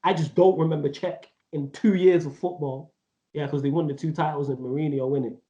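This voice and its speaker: Man in his 30s ranting about football, slangy, mellow voice kinda high, echoey muffled room. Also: steady tone, a little fast. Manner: emphatic, rambling, slang-heavy. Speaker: podcast co-host. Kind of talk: casual football debate. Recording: echoey, dull audio.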